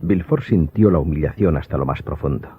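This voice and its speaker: A man's voice: extremely low pitch, fairly quick, slightly rough. A man delivering scripted narration for a radio drama audiobook, as the storyteller. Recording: muffled, narrow-band audio with faint music.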